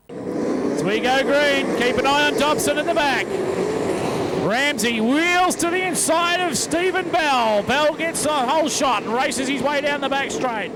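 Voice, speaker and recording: An Australian man giving excited live motor racing commentary. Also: very high-pitched. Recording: loud engine noise at outdoor track.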